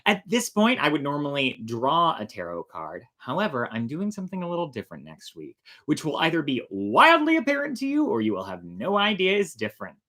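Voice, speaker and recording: A man's voice: fairly high pitch, huge pitch swings, fairly quick, few pauses, pushed and bright. A man hosting a storytelling show, talking casually and enthusiastically, with frequent laughter in his delivery. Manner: chatty, enthusiastic, laughs often. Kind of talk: animated storytelling and casual commentary.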